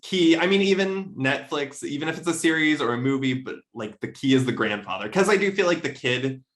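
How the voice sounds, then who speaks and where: A man's voice: fairly high pitch, expressive, brisk, a bit bright. A man chatting casually as a podcast panelist, rambling a little as he thinks aloud. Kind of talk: casual chat about adapting a short story.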